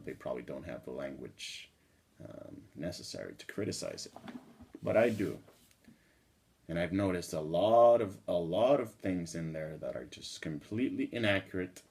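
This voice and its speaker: A man's voice: low-pitched, gravelly, darkish tone. A man in his thirties from the Dominican Republic, talking straight to the camera from a small room and building an earnest, indignant argument. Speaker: vlogger. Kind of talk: direct-to-camera monologue. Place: small indoor room at a table.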